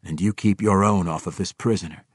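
A principled drow ranger, as a man reading an audiobook plays him, low and measured in a voice close to the narrator's own. He speaks firmly and gravely, recorded close and dry. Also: no room sound. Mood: resolute. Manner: measured, firm.

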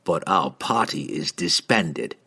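Guy doing a cold killer, low, soft, slow and even. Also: breathy edge, heavily acted. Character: cold, ruthless male assassin.

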